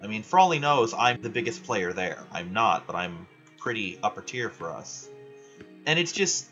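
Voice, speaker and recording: A young man ranting loudly and swearing in casual chat while he plays a video game. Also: lowish voice, sing-song, moderate pace, dull audio, faint music behind. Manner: loud, ranting, frequent swearing. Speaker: gaming vlogger.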